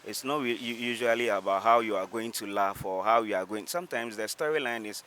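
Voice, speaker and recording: A man's voice: on the low side, a little fast, sharp tone. A man with a Ghanaian accent giving an earnest, reasoned opinion as an interviewee, often doubling words for emphasis. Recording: noisy outdoor urban recording.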